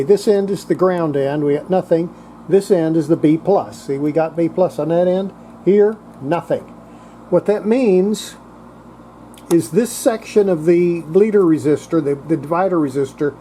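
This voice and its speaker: Casual workshop demonstrator, mid-range voice, thinking aloud, plainspoken, steady noise behind. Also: mid-range voice. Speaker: radio repair demonstrator. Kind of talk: casual hands-on workshop commentary.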